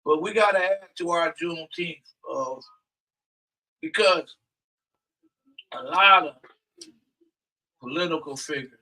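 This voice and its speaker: Co-host, man: loud, emphatic, rambling, high-pitched, set back from the mic. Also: slow pace, long pauses, distant mic, rough recording. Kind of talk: casual community talk.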